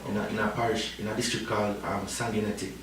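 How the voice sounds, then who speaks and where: A man's voice: on the low side, flat delivery, fast, over a noisy crowd. A man from Jamaica with a Jamaican accent, a reggae artist in a casual interview who rambles and keeps tagging his sentences with "you know?". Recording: echoey, distant, faint noise.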